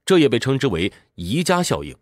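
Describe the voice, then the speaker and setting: A man narrates an explanatory script with highly animated, swinging intonation. He lays out points in an orderly, instructive manner, like a book-summary presenter. The recording is dry and close, with a mid-range voice. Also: fairly quick, dry recording.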